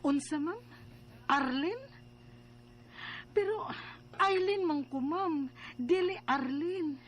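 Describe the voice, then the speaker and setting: A woman's voice: very high voice, slow pace, a little hiss or hum. A woman playing a confused, pleading young mother with a Cebuano accent in a radio drama. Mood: confused, alarmed. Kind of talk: radio drama acting and letter narration. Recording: music behind the voice.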